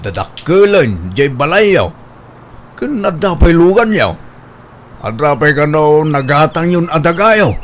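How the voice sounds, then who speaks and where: A man's voice: a little high, big pitch swings, slow pace, slight background noise. A man with an Ilocano accent playing a stubborn, grasping older father in a radio drama, haggling emphatically. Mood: wheedling.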